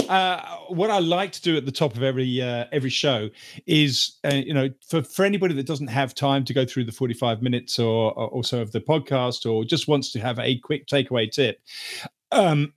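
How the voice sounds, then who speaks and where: A man hosting a casual panel podcast, speaking in a halting, hesitant way. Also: medium pitch. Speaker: podcast host.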